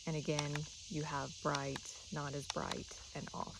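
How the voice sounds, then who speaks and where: A woman's voice: low-pitched, flat delivery, measured pace, vocal fry, heavy background noise. A woman giving a casual, chatty, instructional product demonstration. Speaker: product reviewer.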